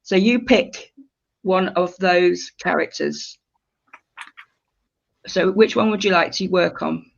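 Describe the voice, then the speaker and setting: A woman's voice: fairly low pitch, slow, gravelly, long pauses. A woman leading a creative writing workshop, giving polite, slightly hesitant instructions to her group in a conversational way. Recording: dull lo-fi audio.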